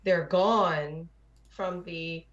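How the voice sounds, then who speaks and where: A woman's voice: fairly low pitch, fairly even intonation, extremely slow. A woman chatting casually as a panelist on a gaming show, over dull lo-fi voice chat audio.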